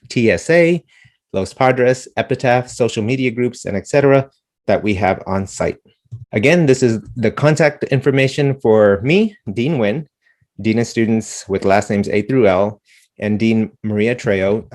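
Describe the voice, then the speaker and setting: A man's voice: fairly low pitch, a little mellow. A man working as a school dean, briefing parents on school policies in an earnest way, often repeating words and correcting himself. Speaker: dean of students. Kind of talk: informational presentation to parents.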